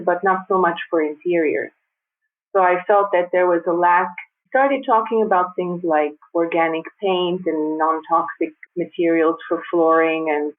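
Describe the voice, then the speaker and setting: A woman in her fifties who grew up in the United States, speaking as a guest in a conversational interview, measured and thoughtful with light laughs. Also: fairly low pitch. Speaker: guest designer and architect. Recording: tinny phone-like remote line.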